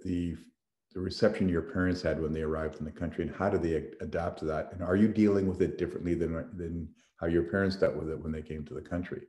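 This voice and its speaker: A man hosting a panel discussion, asking measured, halting questions. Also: low voice, warm tone, echoey room. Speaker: host, foundation president.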